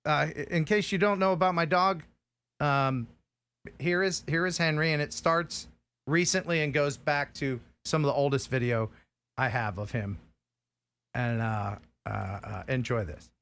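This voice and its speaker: A man's voice: fairly high pitch, steady tone, measured pace, a bit bright. A middle-aged man hosting a live stream, chatting casually in a rambling, heartfelt way while grieving a lost dog. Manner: rambling and heartfelt, with frequent restarts. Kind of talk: casual chat.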